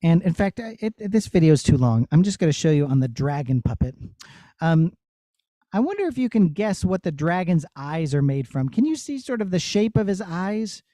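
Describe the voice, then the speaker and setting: Male puppeteer, soft mellow tone, dry recording, encouraging and explanatory with children. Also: fairly high pitch, very animated, moderate pace, dry recording. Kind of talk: answering children's questions.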